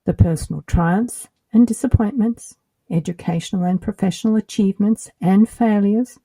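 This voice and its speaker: Australian woman, dark husky voice. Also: mid-range voice, dry recording. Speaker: podcast host. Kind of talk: scripted solo podcast narration.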